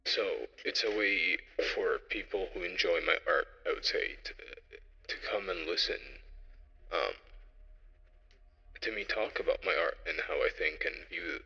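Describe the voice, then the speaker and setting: Young man, very low gravelly voice, cavernous reverb. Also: very animated, sharp tone, muffled recording. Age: young adult. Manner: halting, reflective, thinking aloud. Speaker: host and presenter of an audio log. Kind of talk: informal solo monologue.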